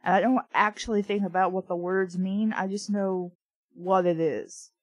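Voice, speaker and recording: A woman's voice: mid-range voice, fairly even intonation, moderate pace, slightly rough, no echo. A woman reading a book aloud casually, with blunt, sweary, opinionated off-the-cuff commentary. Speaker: reader and commentator of a children's book.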